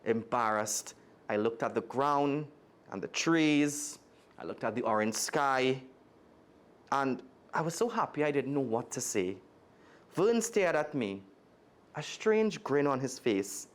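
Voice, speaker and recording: A man's voice: medium pitch, expressive, slow, long pauses. A man with a Trinidadian accent reading a children's story aloud expressively and speaking directly to young listeners. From Trinidad and Tobago. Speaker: guest storyteller.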